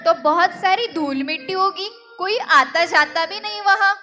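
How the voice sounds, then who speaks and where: A woman's voice: extremely high pitch, unhurried, over loud music. A woman playing a cautious, know-it-all child in a skit, with an insistent sing-song manner. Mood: explaining.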